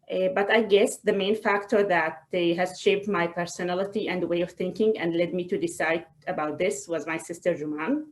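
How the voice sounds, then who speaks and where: A woman, giving a personal talk in a webinar in a halting, hesitant way with frequent pauses. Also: medium pitch, poor audio. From Israel. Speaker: guest speaker, doctor. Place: online video call.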